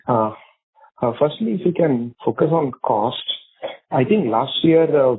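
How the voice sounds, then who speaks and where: Male analyst on a phone line. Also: medium pitch. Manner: polite, halting with repeated words. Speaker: financial analyst asking questions. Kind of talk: earnings call questions. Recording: tinny narrowband phone line.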